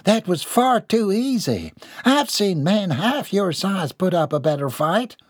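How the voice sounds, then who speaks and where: Man voicing rowdy country wife, brash drawl, very high pitch, dry close sound. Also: big pitch swings, unhurried, no echo, exaggerated voice. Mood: scornful. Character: rowdy, brawling country wife, Bobby Sue.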